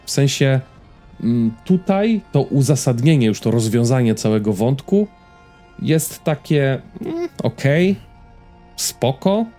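Mellow-voiced man chatting over music. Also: medium pitch. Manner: hesitant, searching for words, checking in with listener. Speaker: podcast co-host reviewing films. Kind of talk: casual conversational film review.

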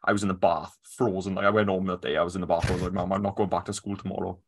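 A man hosting a podcast, animated and quick to laugh and apologise. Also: deep voice, slight background noise. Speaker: podcast host. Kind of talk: casual chat.

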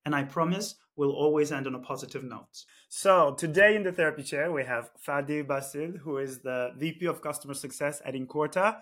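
A man's voice: a little high, a little fast, few pauses, fairly bright tone. A man hosting a podcast interview, upbeat and chatty, asking personal questions. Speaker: podcast host.

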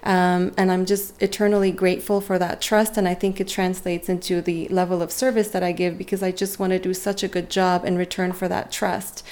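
A woman's voice: lowish voice, level tone, brisk, hardly any pauses, a little mellow. A woman in her forties, a native French speaker, talking earnestly and reflectively as a guest in a conversational interview. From Lebanon and Montreal, Canada. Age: 40s. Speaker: interview guest, real estate agent. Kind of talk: conversational personal interview storytelling.

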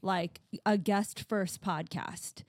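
A woman's voice: mid-range voice, steady tone, slow, no room sound, clean studio sound. A woman co-hosting a podcast, explaining things plainly in casual chat. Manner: matter-of-fact, explaining. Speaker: podcast co-host.

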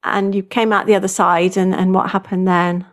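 A woman hosting an interview, giving short supportive replies and gentle questions. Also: mid-range voice, flat delivery, moderate pace. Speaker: host. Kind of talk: interviewing a guest.

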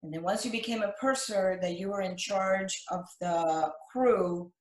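An older woman from Cuba recounting her life as an interview guest, speaking in measured, halting phrases. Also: medium pitch. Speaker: interview guest, retired flight attendant. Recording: echoey, roomy sound.

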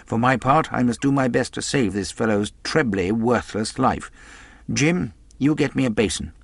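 A brisk country doctor in a storybook, acted by a male narrator. He is blunt and scornful, giving orders in a matter-of-fact way, recorded very dry. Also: medium pitch, vocal fry, no room sound. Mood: commanding.

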